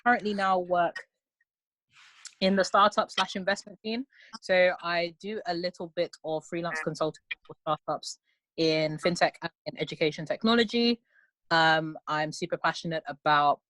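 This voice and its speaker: A young woman from North London, a postgraduate student, talking about herself in a quick, playful way on a podcast video call. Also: on the low side, fairly bright tone, dry recording, low-quality recording. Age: young adult. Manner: quick, playful, self-assured. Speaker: podcast co-host. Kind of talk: casual chat on a video call.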